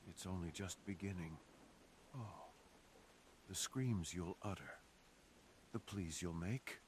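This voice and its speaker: A man's voice: fairly low pitch, slight background noise. A man playing a fox assassin in an ASMR roleplay, speaking theatrically and intimately to the listener, savoring his phrases. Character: menacing, sadistic male fox assassin with a remorseful streak. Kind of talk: ASMR roleplay, close dramatic monologue to the listener.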